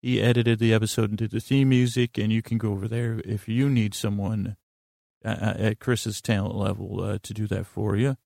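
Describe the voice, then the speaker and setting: Man, sleep podcast host, fry-laden voice, fairly flat intonation, hesitant meandering monologue, deliberate, self-deprecating. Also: lowish voice, no echo, clean studio sound. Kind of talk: rambling bedtime monologue.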